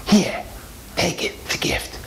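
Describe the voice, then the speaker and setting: Nosy elderly female neighbour, male performer: pushy, falsely sweet, very lively pitch, storytelling. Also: measured pace, a little hiss or hum. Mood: coaxing. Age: older.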